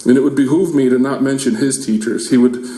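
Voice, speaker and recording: A man in his forties, a parent giving a heartfelt prepared speech at a public meeting, now and then halting and restarting. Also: medium pitch, fairly even intonation, mellow. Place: large meeting room. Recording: echoey meeting hall.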